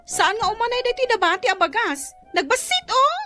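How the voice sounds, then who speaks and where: A very high, nagging youngster. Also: female voice, very animated, a little fast, music in the background. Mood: complaining. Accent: Ilocano. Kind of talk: Ilocano radio drama acting.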